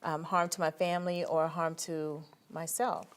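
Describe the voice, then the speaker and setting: Woman, late 20s, producer, sincere, low-pitched. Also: flat delivery, normal speed, clear, resonant tone. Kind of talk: casual talk show chat.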